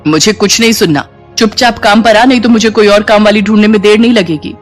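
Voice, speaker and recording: A woman's voice: medium pitch, fast, faint music behind, natural voice. A woman voicing a haughty, bossy rich housewife in a storybook tale, curt and impatient with her maid. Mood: scolding, impatient. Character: haughty, scolding rich housewife, female employer.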